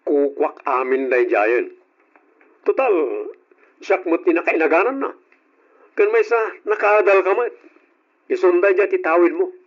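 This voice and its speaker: A man's voice: sits high, unhurried, long pauses. A man with an Ilocano accent playing a struggling, jobless husband and brother who answers curtly and defensively, in an old radio drama. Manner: defensive, curt. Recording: dull lo-fi old radio recording.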